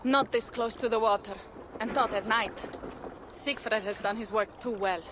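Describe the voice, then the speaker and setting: High-voiced proud young Viking woman played by an actress. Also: moderate pace, fairly bright tone, a little hiss or hum, lightly acted. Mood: steady. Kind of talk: video game cutscene acting.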